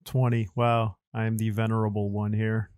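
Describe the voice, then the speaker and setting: A man's voice: lowish voice, level tone, moderate pace, a little mellow, clear, resonant tone, dry recording, studio quality. A man of forty hosting a casual podcast, with wordy, mock-formal phrasing. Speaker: podcast host. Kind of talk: casual chat, moderating.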